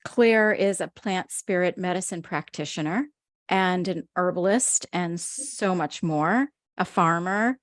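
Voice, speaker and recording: A woman's voice: on the low side, measured pace, dry recording, clean studio sound. A woman hosting a podcast, speaking earnestly and effusively, lingering on words of praise as she introduces her guest. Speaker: podcast host. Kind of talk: heartfelt interview introduction.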